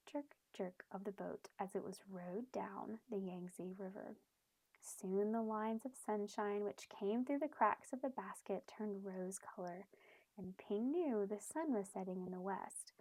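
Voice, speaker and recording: A woman reading a storybook aloud expressively for young children, pausing to explain things in simple terms. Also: mid-range voice, a little mellow, no room sound, rough recording. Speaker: children's read-aloud host.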